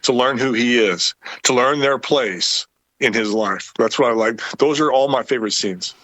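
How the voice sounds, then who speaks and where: A man chatting casually on an online call, speaking informally and haltingly and often repeating his words. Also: medium pitch, fairly bright tone, no room sound. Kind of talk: casual chat.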